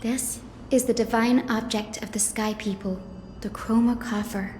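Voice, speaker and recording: Game casting: actress as earnest young maiden of a sky clan, gentle and courteous, fairly high, in a reverberant hall. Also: female voice, slight background noise, close to an everyday voice. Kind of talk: video game voice acting. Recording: echoey hall reverb, music underneath.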